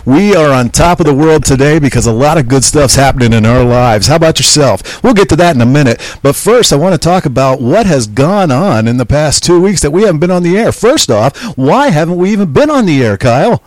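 A man's voice: on the high side, expressive, brisk, hardly any pauses, a little mellow, dry recording, low-quality recording. A man co-hosting a casual podcast, teasing his friend and joking through mock-announcer intros into a studio mic. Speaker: podcast co-host. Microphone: podcast mic through a mixer board.

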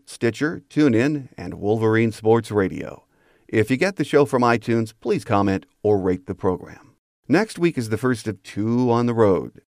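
A man's voice: lowish voice, darkish tone, no room sound, clean studio sound. A man hosting a sports podcast, delivering scripted show notes and sign-offs. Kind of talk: scripted sports show narration and interview wrap-up.

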